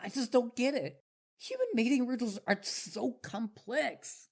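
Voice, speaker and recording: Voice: medium pitch, measured pace, gravelly. A performer voicing an obsessive, devoted young male wolf boy in a roleplay audio drama, stammering and eager. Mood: desperate pleading.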